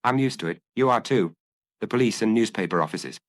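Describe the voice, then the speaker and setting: A man voicing a calm, patient, laconic police chief inspector in a detective story. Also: on the low side, fairly quick, dry recording, studio quality, lightly acted. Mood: calm, brief.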